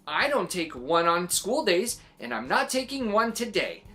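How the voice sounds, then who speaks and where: Storybook kid played by a woman: indignant, stubborn boy, emphatic, pitch swinging widely. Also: sing-song, unhurried, bright, forceful tone, soft background music. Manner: emphatic, protesting.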